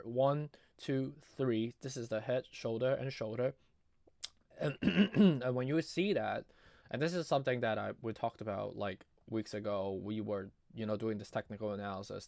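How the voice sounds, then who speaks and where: A man giving casual, off-the-cuff chart commentary in a halting, conversational way, his voice strained as if giving out. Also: fairly low pitch, creaky, a little mellow, no echo, clean studio sound. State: losing his voice, often clearing throat. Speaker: market analysis video host.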